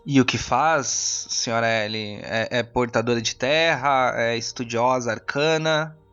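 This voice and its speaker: A man voicing a suspicious, courteous council mage with a measured, haughtily polite manner in a fantasy role-playing audio drama. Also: mid-range voice, fairly even intonation, fairly bright tone, clear, resonant tone, highs cut off, faint music behind, lightly acted. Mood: suspicious, formal.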